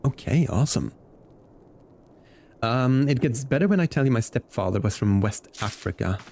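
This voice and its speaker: A man chatting casually as a game streamer, informal and halting as he thinks aloud. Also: medium pitch, lively intonation, creaky, a little mellow, slight background noise. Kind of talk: casual livestream chat during gameplay. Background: game music.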